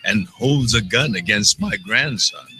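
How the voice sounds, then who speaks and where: An older man in casual chat, speaking haltingly with many restarts while he is losing his voice. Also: on the low side, very animated, pushed and bright, soft background music. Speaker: actor guest.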